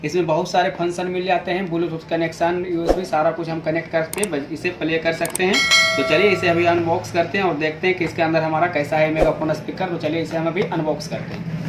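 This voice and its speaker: A man's voice: a little high, level tone, a little fast. Indian man demonstrating, noisy recording. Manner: informal, repetitive step-by-step explaining. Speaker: product reviewer and video host. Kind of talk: unboxing demonstration narration. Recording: clearly noisy amateur recording.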